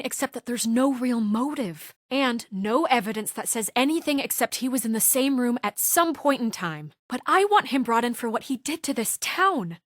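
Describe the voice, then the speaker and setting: A woman's voice: sits high, a bit bright, dry recording. An actress voicing a principled young woman deputy mayor in a video game, firm, clipped and authoritative. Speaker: game character. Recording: dry studio voice clips.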